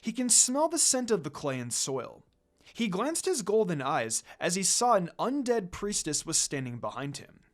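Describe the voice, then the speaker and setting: A man's voice: sits high, sing-song, normal speed. A man reading fan fiction aloud as narrator, in a dramatic scripted storytelling style.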